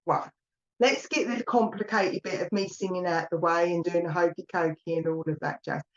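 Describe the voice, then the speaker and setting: A woman with an Essex accent giving a live psychic reading, chatty and rambling, talking warmly to viewers by name. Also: fairly low pitch, steady tone, normal speed, lo-fi sound, a little hiss or hum. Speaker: psychic medium. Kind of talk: live online mediumship reading.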